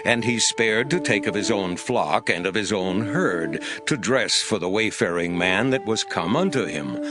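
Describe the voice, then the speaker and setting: An actor playing a stern, grave Hebrew prophet, declaiming solemnly in a dramatized Bible audiobook. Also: male voice, on the low side, expressive, unhurried, music in the background. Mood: grave storytelling.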